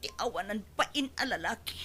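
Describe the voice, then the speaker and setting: A woman's voice: medium pitch, animated, fast talker, music in the background, lightly acted. A woman playing an emotional young bride in a radio drama. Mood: furious, anguished.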